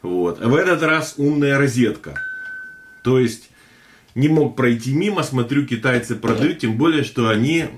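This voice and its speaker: A man hosting a casual tech unboxing, talking in a chatty, hesitant way with many fillers and a slightly hoarse throat. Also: mid-range voice, lively intonation, moderate pace, slight background noise. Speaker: tech video host.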